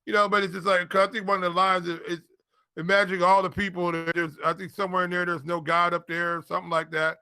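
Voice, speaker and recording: A man co-hosts a casual sports show in a fairly high, bright voice. He talks in halting bursts, doubling back on his phrases, and is recorded dry and close. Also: flat delivery, smooth, dry recording. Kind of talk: casual fantasy football banter.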